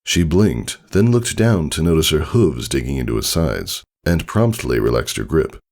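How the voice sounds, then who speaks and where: Deep, gravelly male story narrator. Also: sing-song, normal speed, a little mellow. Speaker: fan fiction reader, narrator.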